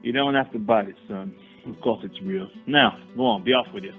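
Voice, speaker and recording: A man acting an earnest young gentleman spurned in love in a radio play, formal and insistent. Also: lowish voice, brisk, close to an everyday voice. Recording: tinny narrow-band audio, faint music.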